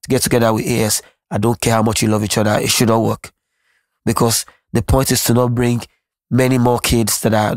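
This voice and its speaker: A man's voice: lowish voice, no echo. A Nigerian man whose first language is Yoruba, talking earnestly and reflectively as an interview guest, often restarting his sentences. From Nigeria (Lagos). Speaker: musician guest. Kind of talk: candid interview conversation.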